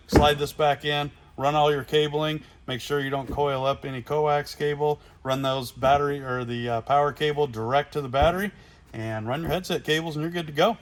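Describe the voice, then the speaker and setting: A man's voice: medium pitch, fairly bright tone, clear, resonant tone. A man presenting a casual, hands-on installation demonstration in plain, informal language, recorded in a garage workshop. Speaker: product installation presenter for a radio company.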